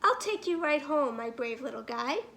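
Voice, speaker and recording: Woman playing a high-voiced, coaxing zookeeper lady. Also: lively intonation, normal speed, vocal fry, bright, forceful tone, exaggerated voice. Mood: fondly scolding. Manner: coaxing, affectionate. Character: kindly female zookeeper, fondly scolding.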